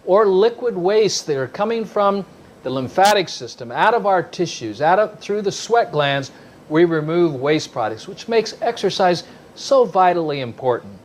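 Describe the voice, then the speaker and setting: A man's voice: high voice, very animated, normal speed, a little hiss or hum. A man giving an explanatory health talk emphatically, asking questions and answering them himself. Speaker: health speaker.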